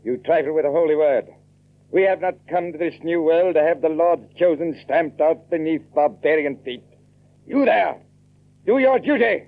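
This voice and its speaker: Casting: domineering, righteous Puritan landowner colonel for radio drama, slightly high voice, preacherly commands, thin narrow-band recording. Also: male voice, expressive, normal speed. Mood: grim, righteous. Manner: commanding, scripture-laden, formal oratory. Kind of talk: audio drama acting.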